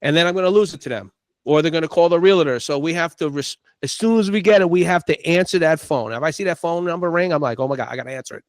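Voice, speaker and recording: Animated middle-aged man, slightly high voice. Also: fast talker, no room sound. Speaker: podcast guest, real estate investor. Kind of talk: casual conversation.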